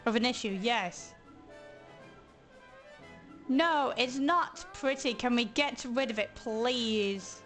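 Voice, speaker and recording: A hoarse woman chatting casually as she streams a video game, thinking aloud and grumbling playfully. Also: on the high side, takes it slowly, long pauses, fairly bright tone, faint music behind. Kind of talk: casual let's-play commentary.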